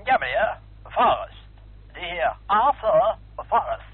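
A man's voice: high-pitched, big pitch swings, slow pace, phone-quality sound, background noise, exaggerated voice. An actor playing a polite, hesitant, fussy male library clerk speaking on the phone in a vintage Dutch radio play. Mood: obliging. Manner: hesitant, formal, obliging. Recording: thin telephone sound, vintage radio recording.

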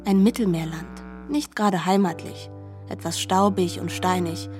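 Actress as a mellow, musing female narrator. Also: on the low side, moderate pace, soft background music, natural voice. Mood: calm, descriptive. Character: solemn, musing female narrator of a mythic novel. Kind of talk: literary radio drama narration.

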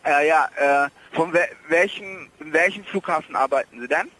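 A man's voice: on the high side, normal speed, pushed and bright, husky, low-quality recording. A man working as an airline phone agent, speaking politely and hesitantly on a service call.

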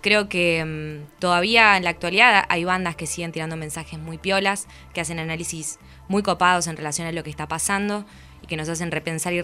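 A woman's voice: low voice, pushed and bright. A woman with an Argentine accent talks in casual, reflective conversation, earnest and colloquial.

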